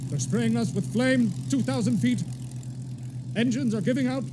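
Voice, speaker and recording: An actor playing a resolute army bomber pilot, giving clipped military reports in radio drama style, over engine drone. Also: male voice, extremely high pitch, moderate pace, noisy background. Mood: desperate. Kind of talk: 1930s radio drama acting. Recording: loud engine drone behind the voice.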